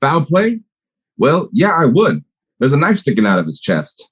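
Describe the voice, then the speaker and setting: A man's voice: mid-range voice, wildly swooping pitch, normal speed, husky. A man playing a plainspoken, matter-of-fact milkman and part-time partner in a radio play. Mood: deadpan.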